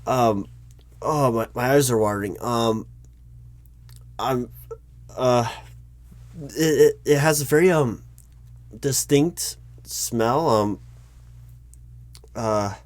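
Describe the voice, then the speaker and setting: A man's voice: medium pitch, no echo. A man playing a recruiting manager in a one-sided job interview roleplay, polite and awkward, stumbling through ums and restarts. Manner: hesitant, halting, full of ums and restarts; polite, awkward, stiffly corporate.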